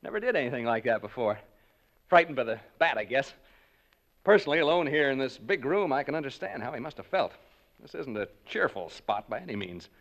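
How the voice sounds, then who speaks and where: An actor playing a skeptical radio host on a ghost hunt, talking nervously and haltingly to his listeners in 1940s radio acting. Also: medium pitch, very animated, a bit bright, muffled recording. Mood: uneasy.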